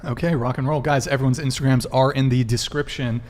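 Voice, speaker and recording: A man's voice: medium pitch, steady tone, a little fast, a little mellow. A man hosting a live talk podcast, casually addressing his viewers. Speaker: podcast host.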